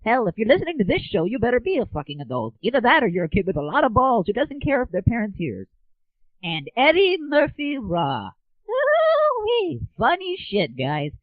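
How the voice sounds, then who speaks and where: A man voicing an excitable, crude midget movie reviewer who whoops with glee in a comedy audio show. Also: very high-pitched, moderate pace, no echo, phone-quality sound, pitched above the performer's own voice, heavily acted. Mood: gleeful, crude.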